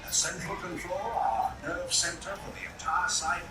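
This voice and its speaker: An actor playing a polished, reassuring theme park narrator, grand and proud, on a promotional film with loud music. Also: male voice, high voice, moderate pace, heavy background noise, lightly acted. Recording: echoey, distant promo tape under loud music.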